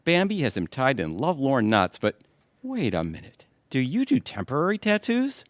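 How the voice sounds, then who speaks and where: A man's voice: medium pitch, wildly swooping pitch, normal speed, no echo. A scheming, theatrical plotter in a comedy radio play. His mid-range voice swoops dramatically between wry asides and grand pronouncements, in a very dry recording. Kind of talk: audio comedy drama acting.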